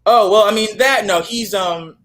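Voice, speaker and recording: A man's voice: high voice, moderate pace, a bit bright. A young man chatting casually as an interview guest, often repeating himself for emphasis. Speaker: guest, actor.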